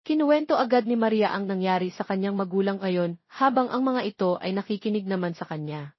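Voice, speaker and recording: A woman's voice: mid-range voice, expressive, a little fast, no room sound, dull audio. A woman narrating a scripted love story as an audiobook reader.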